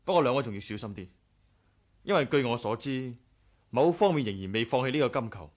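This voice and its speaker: An actor with a Hong Kong Cantonese accent playing a loyal, cautious aide, earnest and courteous, in a 1980s radio drama. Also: male voice, mid-range voice, animated, fairly quick, natural voice. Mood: cautious, urgent. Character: loyal, cautious male aide, devoted to his leader.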